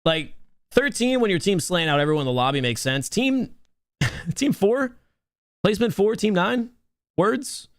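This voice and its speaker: A young man hosting and casting a live mobile game tournament, chatty and talking to his chat in a soothing, relaxed voice. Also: high voice, unhurried, long pauses, dry recording, studio quality. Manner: chatty, talks to chat, restarts words. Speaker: tournament host and caster. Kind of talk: live stream esports commentary.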